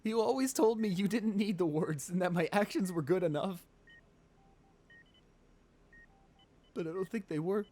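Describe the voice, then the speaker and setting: A man's voice: sits high, warm tone. Man acting an anguished, devoted ruthless lover. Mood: tender regret. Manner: pleading, intimate, halting and emotional. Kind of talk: romantic ASMR roleplay audio drama.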